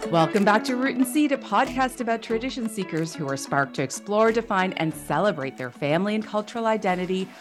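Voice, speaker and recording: A woman's voice: medium pitch, animated, a little fast, few pauses, over music. A woman hosting a podcast, reading a scripted introduction and then asking interview questions in an upbeat way. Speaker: podcast host.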